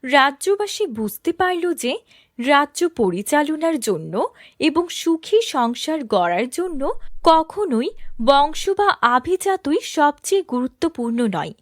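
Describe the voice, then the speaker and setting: A woman narrating a cartoon fairy tale in a smooth, even, scripted storytelling manner. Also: high-pitched, slow, dry recording, studio quality.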